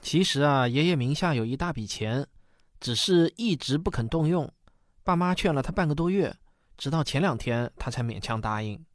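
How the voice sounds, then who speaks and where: A man's voice: fairly high pitch, animated, normal speed, no echo, clean studio sound, lightly acted. A man playing a caring father in a radio drama, talking in a patient, explanatory way. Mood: patient, explaining.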